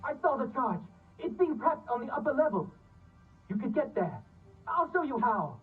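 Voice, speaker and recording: A man's voice: extremely high pitch, big pitch swings, moderate pace, slightly rough, heavily acted. A man playing a nervous, guilt-ridden tech ally in a video game, heard through a radio-like filter. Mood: hesitant, remorseful. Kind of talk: video game cutscene acting. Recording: thin phone-like voice, distant, music behind.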